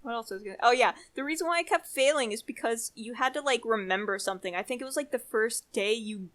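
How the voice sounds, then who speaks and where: A young adult woman co-hosting a casual chat, telling stories in an animated, exasperated way with drawn-out emphasis. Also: fairly high pitch. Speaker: podcast co-host.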